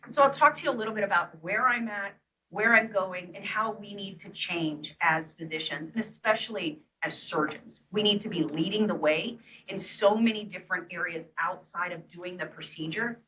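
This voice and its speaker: A woman's voice: mid-range voice, normal speed, sharp tone, recorded from a distance, low-quality recording. A middle-aged woman from East Texas giving a grand rounds lecture as a vascular surgeon, chatty and storytelling, with self-deprecating asides. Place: lecture hall.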